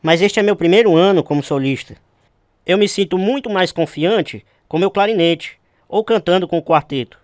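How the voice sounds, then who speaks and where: A man's voice: high-pitched, normal speed, dry recording, clean studio sound, close to an everyday voice. An actor playing an earnest man recalling his youth in scripted radio drama narration. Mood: modest.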